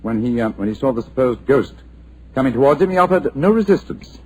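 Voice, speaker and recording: Hombre haciendo de detective sereno y preciso. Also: male voice, mid-range voice, expressive, a little mellow, slight background noise, lightly acted, poor audio. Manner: measured, precise and authoritative. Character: calm, clever male detective.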